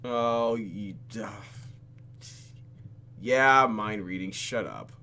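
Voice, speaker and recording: A man hosting a let's play, reading game text aloud and adding sarcastic, animated asides. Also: fairly low pitch, extremely slow, a little hiss or hum. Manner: animated, sarcastic, exclaims and mutters. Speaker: YouTube gaming host.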